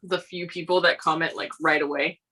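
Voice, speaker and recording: A relaxed woman, a doll sculptor, chats as a guest and giggles now and then. Her voice sits fairly low but sounds bright and forward. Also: a little fast. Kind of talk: casual chat.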